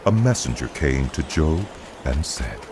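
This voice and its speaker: A man's voice: rumbling low, big pitch swings, unhurried, noisy background. A man narrating a dramatized audio Bible as a solemn storyteller.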